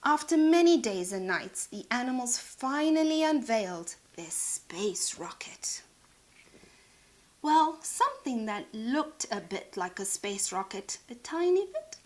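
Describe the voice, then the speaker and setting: A woman narrating a children's storybook in a dramatic, expressive way. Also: on the high side, measured pace. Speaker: storybook reader.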